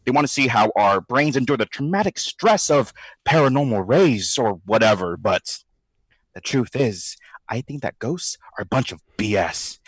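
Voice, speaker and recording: An actor playing a cocky sceptic making a flippant, chatty audio diary in a drama. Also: male voice, mid-range voice, slightly rough, no room sound, poor audio. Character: cocky, sarcastic male sceptic recording himself on a ghost study.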